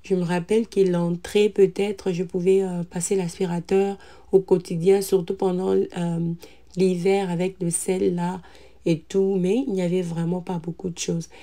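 Calm mom narrating her cleaning vlog, lowish voice, lots of little "euh"s, pretty flat. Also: normal speed. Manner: calm, relaxed, frequent "euh" hesitations. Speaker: cleaning vlogger, mother. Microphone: voice-over recorded separately.

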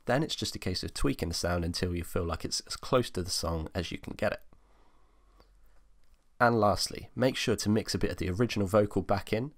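A man in his twenties with a low-pitched voice. He hosts an instructional screencast, explaining each step in order as a tutor would for beginners. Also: fairly quick. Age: 20s. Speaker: music production tutorial host.

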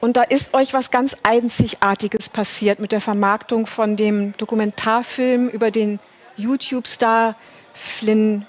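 A woman's voice: on the high side, steady tone, a little slow. A middle-aged woman interviewing a guest as a talk-show host. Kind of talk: interview questioning. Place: indoor talk-show studio.

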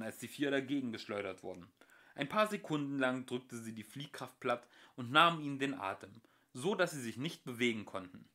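A young man narrating a home-made audiobook with lively, expressive storytelling. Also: mid-range voice. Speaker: audiobook reader. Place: home recording.

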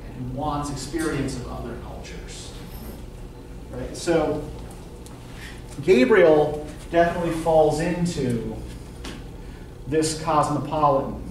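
A man's voice: mid-range voice, a little mellow. Casting: man, middle-aged college lecturer, questioning delivery, distant mic in a very reverberant classroom. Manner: questioning, often checks with "right?". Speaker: university literature lecturer. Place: classroom, indoors. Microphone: distant camera microphone, speaking through face mask. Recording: roomy classroom from far off, faint noise.

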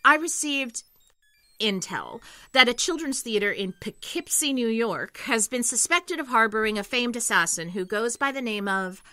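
An actress playing an earnest section chief of agents in an audio comedy, measured and sincere. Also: female voice, on the high side, lively intonation, sharp tone, no echo. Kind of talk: audio comedy drama acting.

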